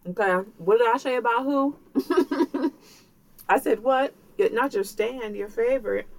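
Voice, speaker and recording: A woman's voice: fairly high pitch, unhurried, vocal fry, low-quality recording. Slangy woman podcast co-host. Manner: loose slangy banter, hosting segues. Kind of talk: casual podcast chat.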